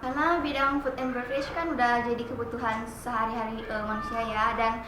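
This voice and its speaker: A nineteen-year-old woman answering interview questions casually and briskly. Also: on the high side, fairly even intonation. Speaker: interviewee, student. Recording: distant echoey room, noisy background.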